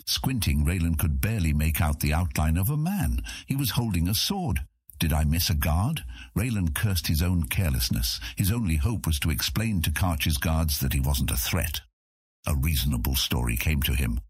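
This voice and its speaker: A man with a husky voice narrating a scripted fantasy audiobook. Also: a bass voice, lively intonation, moderate pace, dry recording, clean studio sound.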